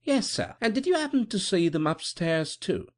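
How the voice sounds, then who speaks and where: A man's voice: high voice, big pitch swings, moderate pace, voice pushed up. A man doing a polite, formal butler. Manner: polite and formal, saying sir often. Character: deferential, watchful male butler. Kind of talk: audiobook character voice.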